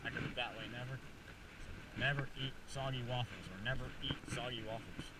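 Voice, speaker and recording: A young man talks in a loose, slangy way as he fishes, often exclaiming. His voice sits in a middle pitch and comes from a distant mic, with clear outdoor noise around it. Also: fairly quick. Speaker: fishing vlogger. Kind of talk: casual excited chat while fishing. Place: outdoors on a small boat on a lake. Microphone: action camera worn on the body.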